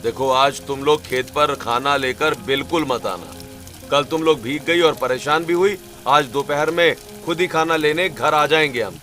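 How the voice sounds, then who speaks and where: A bright, forceful-voiced rural family man from a cartoon, acted by a man. He is earnest and reassuring in his concern, with faint music behind him. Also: fairly high pitch, moderate pace. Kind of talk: Hindi animated family story acting.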